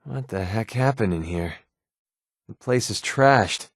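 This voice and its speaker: A man's voice: on the low side, animated, takes it slowly, no room sound, studio quality, full character voice. A man with an American accent playing a sarcastic, wisecracking young mercenary companion in a video game. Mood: irritated. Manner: sardonic, wisecracking, colloquial. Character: wry, sarcastic young male wasteland mercenary. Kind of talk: video game companion dialogue, naturalistic acting.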